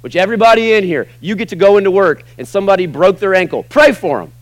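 Brief: middle-aged male pastor from the US, fairly high voice, hammering key words then dropping low, dry close recording. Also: expressive, quick pace, no echo. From United States. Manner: emphatic preaching, swinging between loud punches and quiet asides. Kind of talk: sermon. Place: church sanctuary.

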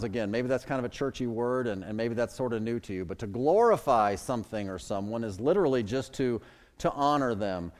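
A middle-aged man from the Chicago suburbs preaching a sermon as pastor, with emphatic swells and drops in his delivery. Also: mid-range voice. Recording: long hall reverb.

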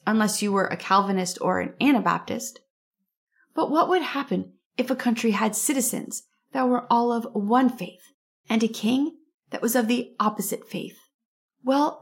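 A woman with a fairly high voice narrates church history as a podcast host. She tells the story steadily and slows down for dramatic moments. Her voice is slightly hoarse from a cold.

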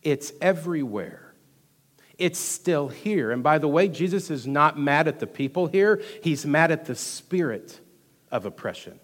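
A man with a Texan accent preaching a sermon as pastor, rushing through some lines and dropping to hushed asides between louder, emphatic ones. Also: fairly high pitch. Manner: animated preaching, swings from loud emphasis to hushed asides, quick delivery. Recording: long hall reverb.

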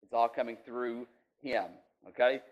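A man's voice: medium pitch, flat delivery, unhurried, muffled recording. A man preaching an informal sermon in a folksy, conversational way, checking in with his listeners often. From rural US.

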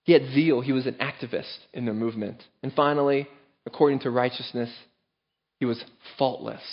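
An earnest male pastor preaching in a church, driving his points home with firm emphasis. His voice sits at a middle pitch for a man. The recording sounds a little dull and lo-fi. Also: fairly even intonation, a little fast. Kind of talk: sermon.

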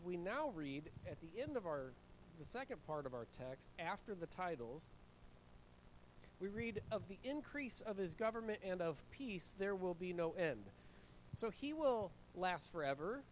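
A man preaching to his congregation, his voice a little higher than most and roughened by a cold. He keeps clearing his throat between steady sermon lines. A faint low rumble sits under the recording in the church room. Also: poor audio. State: hoarse from a cold. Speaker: pastor. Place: church sanctuary. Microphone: handheld mic.

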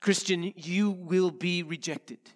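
A male pastor, a little nasal from a head cold, reading scripture and preaching in an earnest, measured way. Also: sits high, in a large hall. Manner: earnest, measured preaching with slow emphatic phrases. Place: church sanctuary.

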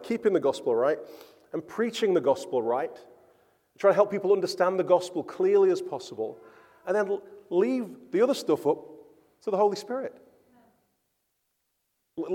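A man's voice: sits high, dark tone. A man preaching a sermon as a pastor, earnest and emphatic, often repeating words for stress. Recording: church hall with long reverb.

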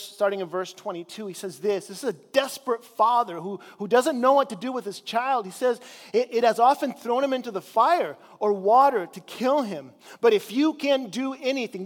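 A man's voice: very high voice, in a large hall. A man from Chile preaching a sermon in a conversational way, drawing out key words for emphasis. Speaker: pastor. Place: church sanctuary.

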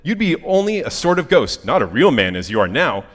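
A man's voice: fairly high pitch, sing-song, brisk, long reverb tail. A man voicing a small lizard that pleads and wheedles in eager chatter for a story. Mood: urgent warning.

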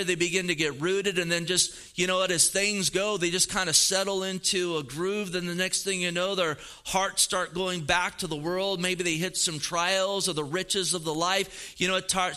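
A man's voice: high voice, pushed and bright, clean tone. A pastor in his fifties preaching a sermon, earnest and emphatic, repeating phrases for weight. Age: 50s.

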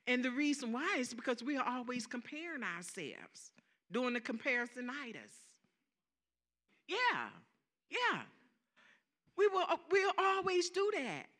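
A woman's voice: high-pitched, sing-song, unhurried, long pauses, a bit bright. A woman with a Southern accent preaching a sermon, emphatic, repeating key phrases and calling out for amens.

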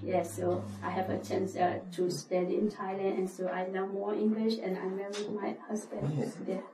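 A woman's voice: medium pitch, steady tone, normal speed, reverberant, recorded from a distance, poor audio. A woman from Burma, telling her life story in hesitant at church. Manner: plain, hesitant, many uh fillers. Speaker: refugee giving testimony. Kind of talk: church testimony, non-native English.

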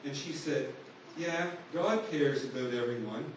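A man's voice: mid-range voice, measured pace. A man preaching a sermon, telling a story in an earnest, conversational way to his congregation. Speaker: pastor. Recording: echoey hall, voice from far off, noisy.

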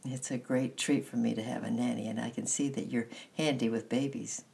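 A woman's voice: a bass voice, fairly even intonation, brisk, creaky, warm tone, full character voice. A woman reader voicing a kindly young mother, a vicar's wife in a storybook, in a friendly, encouraging manner.

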